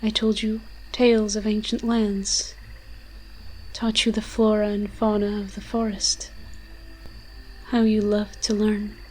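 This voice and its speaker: A woman's voice: mid-range voice, level tone, slow pace, long pauses, a little mellow, slight background noise, lightly acted, low-quality recording. A woman voicing an ancient, moss-covered forest demon in an audio roleplay, tender and protective, speaking in solemn, poetic, archaic storytelling phrases. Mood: fond, nostalgic.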